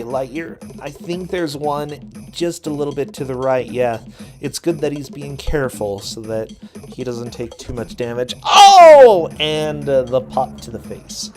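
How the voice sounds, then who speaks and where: A man doing casual, chatty commentary on a video game race. He laughs often, and his voice is getting a little worn. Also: medium pitch. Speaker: teacher and streamer. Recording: music playing behind.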